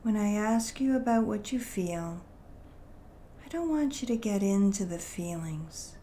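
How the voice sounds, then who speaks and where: A woman leading a guided meditation in a gentle, soothing manner, with deliberate phrasing and long pauses. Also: medium pitch, expressive, slight background noise.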